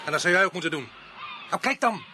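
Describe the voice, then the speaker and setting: An actor playing a gruff, sarcastic police sergeant in a Dutch radio drama, with a dry, teasing manner. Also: male voice, high-pitched, animated, fast talker. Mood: sardonic, brisk. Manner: dry, sardonic, hesitating with small chuckles. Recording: noisy old radio play recording.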